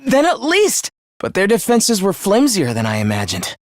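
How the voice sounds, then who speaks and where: A man playing an earnest, caring teenage student leader in an anime-style video game, with a youthful, expressive voice and polite delivery. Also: high voice, normal speed, no echo, clean studio sound. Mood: urgent. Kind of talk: animated game voice lines, anime-style dub acting.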